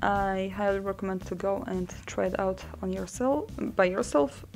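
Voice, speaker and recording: A young woman talks through a game with a relaxed, dry wit, pausing with ums as she thinks aloud. Her voice carries a gravelly, fry-laden edge at an ordinary pitch. Game music plays clearly beneath her desk-mic commentary. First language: Polish. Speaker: mod developer showing a game. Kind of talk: casual gameplay commentary.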